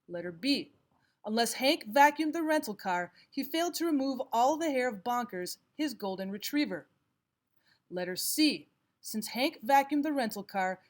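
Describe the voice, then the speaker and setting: A woman narrating a scripted lesson as a teacher, reading items aloud in a measured, instructional way. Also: fairly high pitch. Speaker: English grammar teacher.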